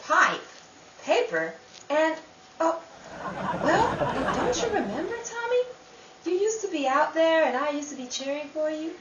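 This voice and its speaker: A woman's voice: sits high, sing-song, a little slow, pauses often, crowd noise. A woman playing an anxious, sentimental housewife in a 1930s-style radio drama, earnest and pleading, easily exasperated. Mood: coaxing, wistful. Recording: dull, roomy, distant, with faint noise.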